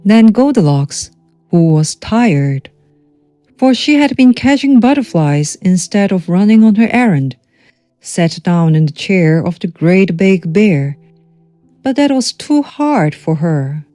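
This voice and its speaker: Slow, mellow woman reading a children's story. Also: mid-range voice, expressive, no room sound, faint music behind. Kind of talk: scripted children's storybook narration.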